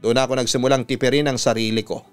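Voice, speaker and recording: A man with a Filipino accent narrating a personal story as a radio storyteller, in a smooth, resonant voice. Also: medium pitch, flat delivery, quick pace, soft background music. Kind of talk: scripted dramatic narration of a listener's letter.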